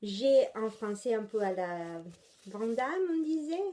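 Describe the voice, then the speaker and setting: Italian-accented woman, unhurried pace, warm soft tone, rambling podcast chat. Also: a little high, very animated. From Italy. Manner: rambling, chatty, many hesitations and self-corrections. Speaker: knitting podcast host. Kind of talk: casual chat.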